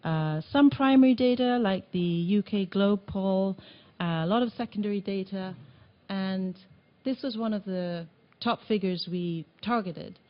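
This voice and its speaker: A woman from Tanzania presenting a report at a meeting, speaking earnestly with some halting restarts, in a meeting room. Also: medium pitch, highs cut off. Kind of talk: conference presentation.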